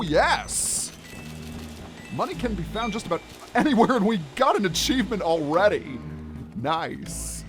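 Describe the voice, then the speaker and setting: A gaming video host giving chatty, expressive let's play commentary and explaining things clearly as they go. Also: mid-range voice. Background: game music.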